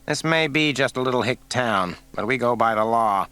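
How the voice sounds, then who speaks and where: A man voicing a cold, smug small-town male coroner with a slow, folksy way of talking. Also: medium pitch, expressive, bright, forceful tone.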